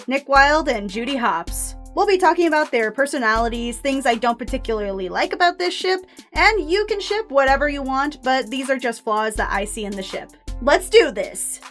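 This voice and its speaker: Woman doing video essay commentary, high voice, kinda informal and opinionated, playful stresses, music under it. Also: lively intonation, moderate pace, hardly any pauses, fairly bright tone.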